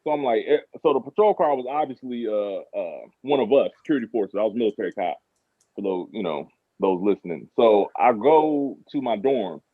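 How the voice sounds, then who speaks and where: A man's voice: fairly low pitch, expressive, a little fast, no echo. An American man in his thirties telling stories casually and informally as a podcast guest. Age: 30s. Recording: phone-like thin radio sound.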